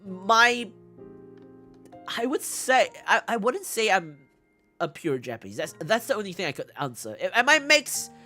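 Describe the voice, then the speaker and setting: A woman with a mixed English accent hosts a casual livestream question session. She speaks slowly and haltingly, repeating phrases and giggling, with very animated intonation. Music plays behind her. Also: mid-range voice. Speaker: VTuber streamer host. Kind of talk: casual livestream chat answering viewer questions. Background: background music.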